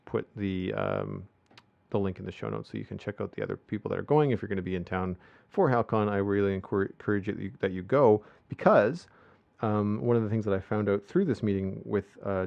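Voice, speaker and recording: Male podcast host, gravelly and rambling, on dull lo-fi audio. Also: fairly low pitch. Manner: rambling, many fillers. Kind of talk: casual podcast chat.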